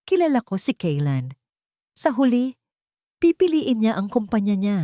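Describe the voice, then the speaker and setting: A woman's voice: a little high, big pitch swings, moderate pace, mellow, no room sound, studio quality, voice pushed up. A woman voicing a conceited, scheming fiancée villain, gloating and scornful, in an audio story. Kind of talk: dramatic audio story dialogue.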